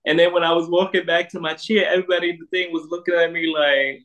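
Animated New man telling stories. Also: fairly high pitch, fast talker, highs cut off, soft background music. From Harlem, New York. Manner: animated, laughs through stories. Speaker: guest. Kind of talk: casual storytelling.

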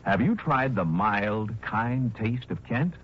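A man's voice: fairly low pitch, animated, slow, very noisy. A man reading a scripted 1960s radio commercial as an announcer. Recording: dull vintage radio recording, loud music.

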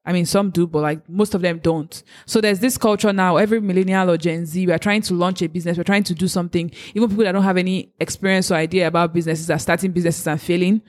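A woman's voice: on the low side, steady tone, fast, few pauses, a little mellow. A woman from Africa in a casual chat, giving earnest, faith-driven encouragement. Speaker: co-host giving advice.

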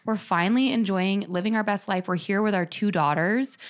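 A woman co-hosting a podcast, chatting in a bubbly, relaxed way with many fillers. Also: mid-range voice, fairly quick. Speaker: podcast co-host. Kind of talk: casual chat.

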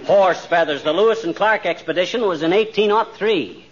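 An actor playing a bumbling, playful American husband with a folksy, blustery manner in a 1940s radio comedy. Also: male voice, sits high, moderate pace, close to an everyday voice. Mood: hearty, sceptical. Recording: muffled, very noisy radio recording, loud audience.

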